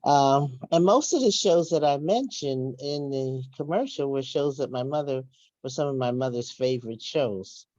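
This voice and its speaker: A woman's voice: a bass voice, moderate pace, warm tone, no room sound. An older woman casually telling her recollections as a participant in a panel discussion, heard over a call. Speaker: workshop participant and writer. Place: remote call-in to online event. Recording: muffled, band-limited call audio.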